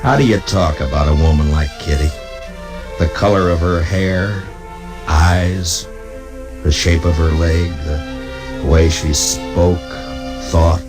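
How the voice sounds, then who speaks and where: An actor playing a gruff, laconic American frontier marshal in a 1950s western radio drama. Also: male voice, low-pitched, fairly even intonation, slow pace, long pauses, over loud music. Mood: reflective.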